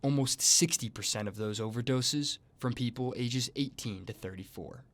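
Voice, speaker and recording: A man's voice: medium pitch, normal speed, noisy background. A young man delivering scripted broadcast news as a student TV reporter. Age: young adult.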